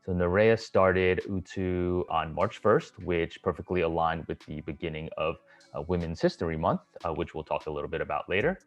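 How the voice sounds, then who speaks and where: Deep-voiced man hosting on a dull video call. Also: normal speed. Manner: friendly, hesitant, many fillers. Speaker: host. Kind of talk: casual video call interview.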